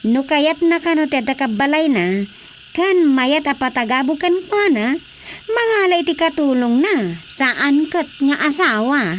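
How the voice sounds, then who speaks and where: A woman's voice: high-pitched, expressive, a little slow, background noise. A woman playing an earnest, sympathetic and indignant cousin in a radio drama. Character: concerned, indignant female cousin, sympathetic confidante.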